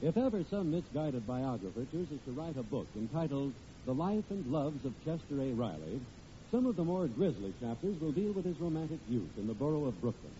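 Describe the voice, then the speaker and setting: A man's voice: mid-range voice, very animated, fairly quick. A man announcing in a scripted mid-century radio style. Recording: muffled old radio with loud hiss.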